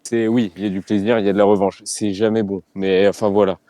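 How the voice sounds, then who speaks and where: A man speaking as a riot police officer in a debate, talking frankly and haltingly and often repeating phrases as he searches for words. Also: lowish voice, level tone, mellow, no room sound.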